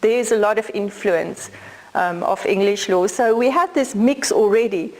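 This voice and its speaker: A woman's voice: medium pitch, unhurried, low-quality recording. A middle-aged South African woman whose first language is Afrikaans, giving a law lecture in a conversational, explaining way with some fillers. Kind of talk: academic lecture.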